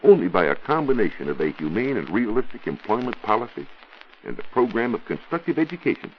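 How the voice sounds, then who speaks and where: A man with an American accent explaining science on a scripted 1940s radio show, in a didactic, deliberate and emphatic way. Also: mid-range voice, very animated. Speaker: science reporter answering listener questions. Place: radio studio. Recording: muffled, noisy 1940s radio recording.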